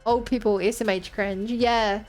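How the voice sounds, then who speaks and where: A woman's voice: medium pitch, fairly even intonation, a little slow, soft background music. A woman in her twenties streaming a game, rambling and joking as she talks to her chat. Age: 20s. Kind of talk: casual gameplay commentary.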